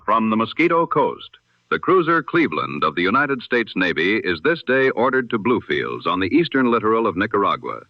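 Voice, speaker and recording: A man's voice: on the low side, no room sound, muffled recording. Solemn man reading old-time radio news. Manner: measured, solemn, formal. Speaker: newsreader.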